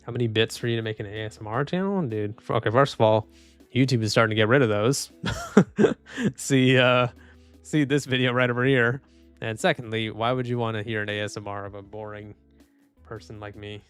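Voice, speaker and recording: A man live streaming and reading chat, speaking softly and calmly with frequent restarts. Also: medium pitch, a little fast, clean tone. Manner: soft-spoken, calm, trailing off with restarts and small laughs. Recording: dry close mic, faint music underneath.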